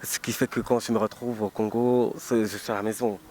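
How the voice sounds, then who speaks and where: A man's voice: on the low side, flat delivery, fairly quick, dark tone. Man interviewed amid event noise. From Cameroon. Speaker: writer being interviewed at a book festival. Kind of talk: unscripted live interview. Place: busy book festival venue. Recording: noisy event ambience. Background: event noise and ambience.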